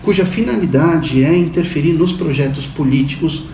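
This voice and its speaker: A man's voice: a little high, warm tone. A Brazilian professor in his fifties answers in a careful, academic manner. His voice sounds a little distant, with a roomy echo and a faint background hum. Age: 50s. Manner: measured academic explaining. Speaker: university professor interviewee. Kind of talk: interview answers.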